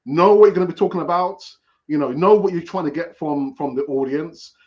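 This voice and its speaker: A man's voice: a little high, a little fast. A man giving advice in a casual panel chat, repeating key words for emphasis. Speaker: panel speaker. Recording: dull, muffled sound.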